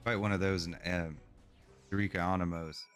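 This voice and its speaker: A man streaming a game, making quiet, offhand remarks while playing, over faint game music. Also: low voice, fairly even intonation, normal speed. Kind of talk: casual gameplay commentary.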